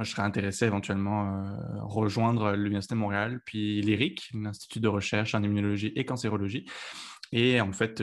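A young man from France, speaking with a French accent from Lyon, answers interview questions as a guest in a hesitant way, with many fillers and restarts. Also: fairly low pitch. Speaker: guest, PhD student.

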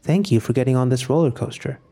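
A man acting a resigned, solitary narrator in scripted audio fiction, speaking in a measured, introspective way about his own final day. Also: medium pitch, warm tone, husky. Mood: quiet resignation.

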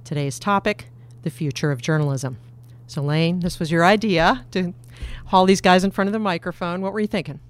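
A woman, a newspaper editor, hosting a podcast and guiding the conversation briskly. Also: lowish voice.

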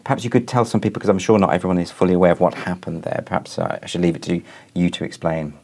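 A man's voice: low-pitched, racing, vocal fry, a little mellow. A man hosting a podcast interview, speaking in a polite, articulate, conversational way.

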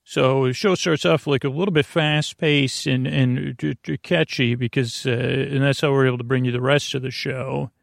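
A man speaks with a gravelly, creaky voice at a medium pitch. He hosts a bedtime podcast in a rambling way, trailing off and circling back. The recording is dry and close. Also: fairly even intonation, moderate pace, hardly any pauses, no echo. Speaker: podcast host.

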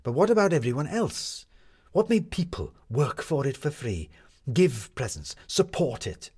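A sharp, determined young woman reporter from a storybook, as a male reader plays her. The delivery is emphatic and very animated, with a slightly rough edge and plenty of challenging questions. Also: medium pitch, unhurried, pitched above the performer's own voice. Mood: rallying. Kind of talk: storybook character dialogue.

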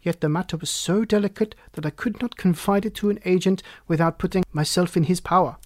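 A man's voice: high voice, brisk, a little mellow, dry recording, lightly acted. A man voicing a proud Bohemian nobleman, formal and haughty, in an audiobook story. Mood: grave, formal. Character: proud, agitated Bohemian king, a huge masked nobleman. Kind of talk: audiobook character reading.

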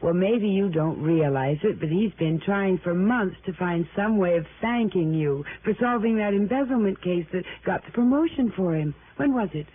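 A woman's voice: medium pitch, expressive, moderate pace, few pauses, lightly acted. An actress playing a talkative, evasive married woman in an American radio drama, with an American accent and a stammering, deflecting manner. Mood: chatty, breezy. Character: chatty, evasive married woman growing flustered under questioning. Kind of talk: mid-century American radio drama acting. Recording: noisy, narrow-band vintage radio recording.

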